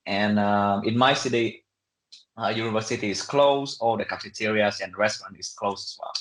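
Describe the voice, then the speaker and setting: A young man speaks in a fairly low voice with a Vietnamese accent, recorded in an echoey room. He answers in a casual, conversational way, pausing and hedging as he searches for words. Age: young adult. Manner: halting, conversational, many fillers and hedges. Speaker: interview guest, international student.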